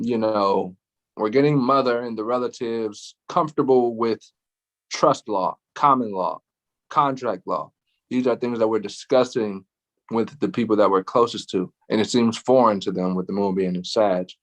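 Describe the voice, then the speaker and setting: A man's voice: fairly low pitch, fairly even intonation, moderate pace. A man co-hosting a casual astrology chat, laid-back, with frequent laughs and lots of fillers. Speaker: astrology show co-host.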